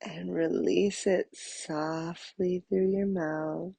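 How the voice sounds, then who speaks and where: Very slow, breathy woman guiding meditation. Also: on the low side, steady tone, dry recording. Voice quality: soft, breathy, husky. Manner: slow, soothing, with long pauses. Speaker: meditation guide. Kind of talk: guided meditation narration.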